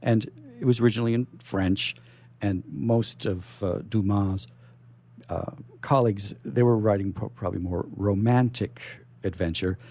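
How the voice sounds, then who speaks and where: A man's voice: lowish voice, fairly even intonation, moderate pace, warm tone. A man hosting a radio show, chatting casually and enthusiastically with his guests. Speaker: radio host. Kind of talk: casual on-air interview chat.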